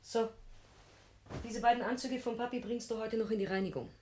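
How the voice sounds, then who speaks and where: A woman's voice: a little high, fairly even intonation, a little fast, a little mellow, a little hiss or hum, close to an everyday voice. A woman playing a strict middle-aged mother in a radio play, insistent and exasperated as she explains herself. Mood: pressing, impatient. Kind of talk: German radio play acting.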